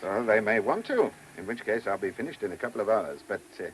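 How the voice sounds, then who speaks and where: A man playing a dogged, urbane police chief inspector in a radio play, measured and probing, with a wry touch. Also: lowish voice, lively intonation, lightly acted. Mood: affectionate, firm. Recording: noisy old radio recording.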